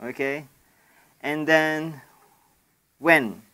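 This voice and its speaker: Man explaining words, fairly high voice. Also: pushed and bright, clean tone, no echo. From Indonesia. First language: Indonesian. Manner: unhurried, halting explanation with many fillers and restarts. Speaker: language channel host. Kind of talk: casual language lesson.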